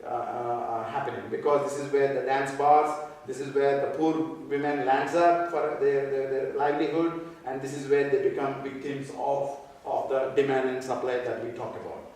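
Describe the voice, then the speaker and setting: A man from Nepal giving a conference talk on social work, halting and full of fillers and repeated words. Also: medium pitch, steady tone, a little mellow. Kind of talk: conference presentation. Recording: echoey hall, speaker far from mic.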